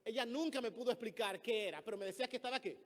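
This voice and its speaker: Dominican man, pastor, very high voice, lively storytelling sermon. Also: racing. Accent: Dominican Spanish. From Dominican Republic. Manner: lively storytelling, acting out the people he quotes. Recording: long reverb of a church hall.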